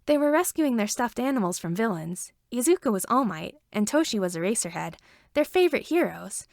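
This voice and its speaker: A woman's voice: a little high, big pitch swings, fairly quick, gravelly, a little mellow, dry recording, studio quality. A woman reading a story aloud as scripted narration. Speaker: fan fiction reader.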